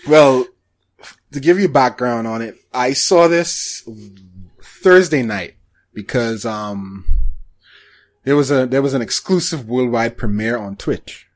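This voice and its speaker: A man's voice: medium pitch, takes it slowly. A man co-hosting a casual podcast about anime, speaking hesitantly and often trailing off. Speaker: podcast co-host.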